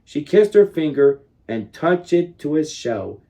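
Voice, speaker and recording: A man in his thirties reading a children's picture book aloud expressively, leaning on key words with deliberate dramatic emphasis. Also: fairly high pitch, big pitch swings, vocal fry, warm tone. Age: 30s.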